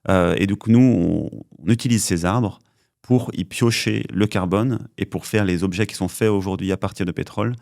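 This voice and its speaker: A man from western France answers podcast questions in a slow, explanatory manner, pausing often on his fillers. His voice sits very low with a gravelly edge. The sound is dry and close, with no room around it. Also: steady tone, a little mellow, no room sound. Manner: measured, explanatory, hesitant fillers. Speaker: company co-founder, chemist.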